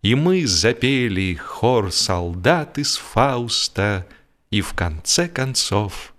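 A man narrating humorous literary fiction as a scripted audiobook, in an expressive, mock-earnest storytelling manner. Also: on the low side, slow pace.

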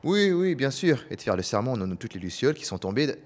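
A man's voice: mid-range voice, sing-song, fast, natural voice. A man playing a wry, rambling, worried resistance fighter in an audio drama.

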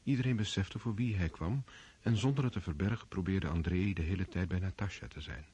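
A man narrating a period radio play in a measured, serious tone. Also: low-pitched, mellow, breathy edge. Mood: solemn. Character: grave male storyteller of a period drama.

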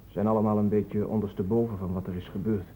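A man's voice: fairly low pitch, flat delivery, quick pace, dark tone, lightly acted. An actor playing an indignant young man in a radio play, speaking for his friends with insistent, challenging questions. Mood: hesitant, sombre. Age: young adult. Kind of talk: Dutch radio drama acting. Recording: muffled, narrow-band old radio recording, noisy.

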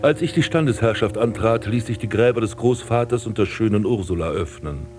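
An actor playing a vain, scornful prince and landscape gardener in a radio drama, speaking in pompous, ornate declamation. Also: male voice, on the low side, fairly quick, darkish tone, soft background music, natural voice. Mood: brooding storytelling. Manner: pompous, declamatory, ornate old-fashioned diction. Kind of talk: literary radio drama acting, monologue and dialogue.

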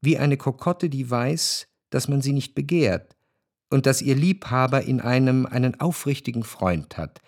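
A man reads literary narration for a radio drama. His voice is mid-pitched, and he shapes long sentences with measured phrasing. The studio sound is dry and close. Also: a little mellow, dry recording.

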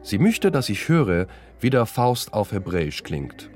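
A man's voice: fairly low pitch, big pitch swings, a little slow, a little mellow. A man narrating a radio documentary in the first person. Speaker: narrator, theatre director. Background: music.

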